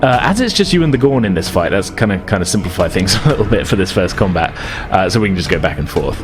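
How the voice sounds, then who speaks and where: A man's voice: sits low, very animated, quick pace. A man acting as game master, explaining and narrating a tabletop game in a casual, hesitant way.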